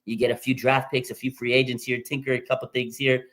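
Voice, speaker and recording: An American man of about forty from Las Vegas, hosting a casual live stream and reading out fan comments in an excitable way. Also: fast talker. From Las Vegas, Nevada, USA. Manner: excitable, with sudden pitch jumps. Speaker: livestream host.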